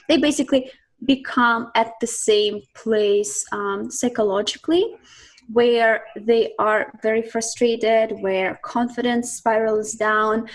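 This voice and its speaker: A woman from Siberia, a guest talking reflectively about her life and work, often pausing with ums and restarts. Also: fairly high pitch, poor audio. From Russia (Siberia). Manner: reflective, thinks aloud with many ums and restarts. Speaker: guest, mindset coach. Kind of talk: casual interview talk.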